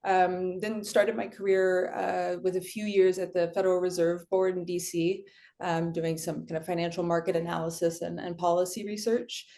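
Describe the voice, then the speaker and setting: A woman's voice: lowish voice, level tone, moderate pace, warm tone. A woman from California introducing herself on a panel in a friendly, chatty way with many fillers, on a video call. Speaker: panelist, finance professional. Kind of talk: casual self-introduction.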